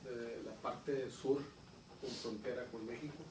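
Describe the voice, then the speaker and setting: Older grandfather type, soft-spoken and halting, heard from far across an echoey church. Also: mid-range voice, fairly even intonation. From South Texas. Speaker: visiting grandfather. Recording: distant mic in an echoey, noisy church.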